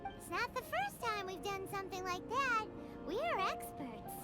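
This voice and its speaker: Tiny excitable fairy sidekick for a game: extremely high, squeaky, swooping, cheeky. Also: female voice, measured pace, heavily acted. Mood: chatty, flattering. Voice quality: squeaky, childlike. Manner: bubbly, dramatic, speaks of herself in third person. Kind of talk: scripted video game dialogue. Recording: loud background music under the voice.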